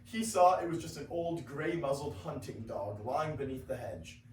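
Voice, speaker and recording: A man's voice: medium pitch, normal speed, lightly acted. A teenage boy playing the narrator of a folk tale in a scripted reader's theater reading. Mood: storytelling. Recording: roomy, distant classroom recording, faint noise.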